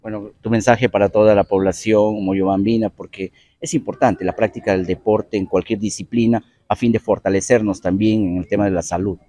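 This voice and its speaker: A man's voice: fairly low pitch, steady tone, a little fast, few pauses, mellow, no room sound. A man with a Peruvian accent reporting from an outdoor football field, friendly and affectionate as he interviews children. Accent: Peruvian Spanish. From Peru.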